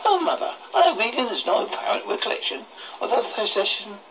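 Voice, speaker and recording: A horror host reading a scripted story aloud in a halting way, stumbling over words, on a muffled lo-fi recording. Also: mid-range voice, very animated, crowd noise. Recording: muffled lo-fi recording with faint noise.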